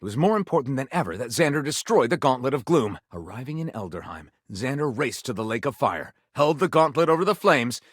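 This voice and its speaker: Wildly animated, melodramatic male storyteller. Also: mid-range voice, breathy edge, no echo, clean studio sound. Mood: grandiose, breathless. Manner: theatrical, overwrought, suspenseful delivery with dramatic pauses. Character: melodramatic theatrical male storyteller of pulpy adventure tales. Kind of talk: scripted dramatic narration for a video game.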